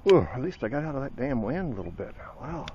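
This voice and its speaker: A man in a small boat on a lake, giving casual running commentary on his fishing with some mild swearing, recorded outdoors on a camera boom. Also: sing-song, fast talker. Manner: chatty, talks to himself, mild swearing. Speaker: fishing vlogger. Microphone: action camera on a boom. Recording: dull lo-fi camera audio with loud wind and water. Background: wind and lapping water.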